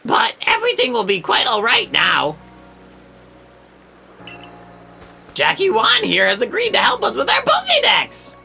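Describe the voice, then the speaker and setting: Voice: high-pitched, huge pitch swings, moderate pace, vocal fry, pushed and bright, heavily acted. A performer voicing a clumsy, cheerful professor in a video game, with jovial, old-fashioned genteel phrasing over music. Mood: sheepish, upbeat. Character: clumsy, cheerful, courteous scholar professor, adult man. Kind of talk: voiced video game dialogue.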